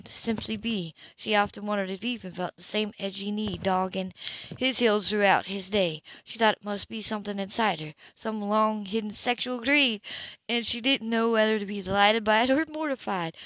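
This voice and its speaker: A woman's voice: medium pitch, a little fast, a bit bright, no echo. A woman reading a romance novel aloud as an amateur audiobook narrator, occasionally stumbling over words. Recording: dull lo-fi home recording.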